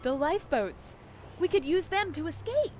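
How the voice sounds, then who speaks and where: High-voiced, excitable girl. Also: moderate pace, slight background noise. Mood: urgent. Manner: excitable, urgent. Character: anxious, resourceful young daughter, a girl. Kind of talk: video game character acting. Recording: loud music behind the voice.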